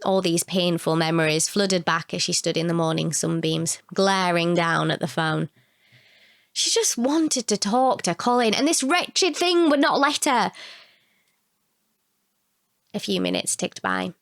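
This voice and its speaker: A woman's voice: on the low side, big pitch swings, unhurried, vocal fry, no echo, clean studio sound. A woman narrating a scripted short story.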